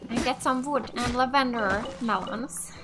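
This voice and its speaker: A woman's voice: a little high, a little slow, low-quality recording. A woman from Finland, a native Finnish speaker, doing let's play commentary and thinking aloud as she plays. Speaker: gamer. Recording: game music behind the voice.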